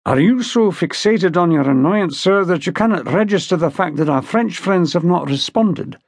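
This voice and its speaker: A man's voice: sits high, animated, moderate pace, no room sound. A male narrator acts an army major in a historical audiobook. The officer is composed, protective and wry, speaking in a very dry, close sound. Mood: reasoning. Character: calm Scottish infantry major, protective and wry.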